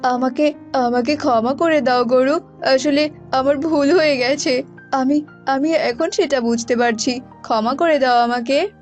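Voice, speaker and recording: A woman's voice: sits high, fairly even intonation, a little slow, a little mellow. A woman voicing a frightened, guilty village man in a cartoon, stammering and repeating words, with music behind. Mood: frightened, pleading.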